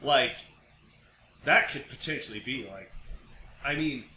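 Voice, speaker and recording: An American man co-hosting a casual podcast, joking informally and excitably, picked up from a few feet away. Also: medium pitch, unhurried. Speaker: podcast co-host. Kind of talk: casual conversational podcast chat. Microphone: picked up by another's mic about five feet away. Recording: distant, roomy pickup with background noise.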